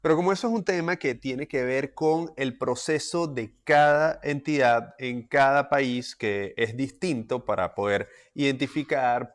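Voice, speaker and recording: A young man calmly explaining music licensing as a lesson, clear and methodical with quick asides. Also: mid-range voice. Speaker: music industry educator.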